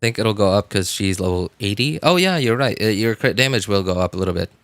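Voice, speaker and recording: Guy streaming a game, lowish voice, kinda unsure, talking thru stuff out loud and repeating himself. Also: expressive, quick pace, no echo. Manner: hesitant, thinking aloud, repeating himself. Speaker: game livestreamer. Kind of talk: casual livestream chat while theorycrafting a game.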